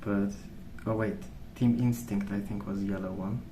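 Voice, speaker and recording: A soft-spoken man rambling in an ASMR style, with a hesitant, meandering and unhurried way of recollecting. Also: on the low side, fairly even intonation. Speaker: ASMR video host. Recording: roomy, distant mic, noisy background. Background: pencil colouring sounds, background noise.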